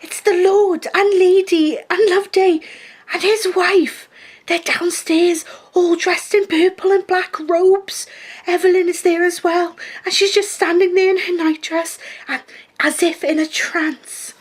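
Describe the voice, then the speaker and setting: A woman's voice: very high-pitched, steady tone, a little slow. An actress playing an anxious, suspicious young woman, proper and earnest, in an old-style radio play. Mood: alarmed, urgent. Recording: noisy background hiss.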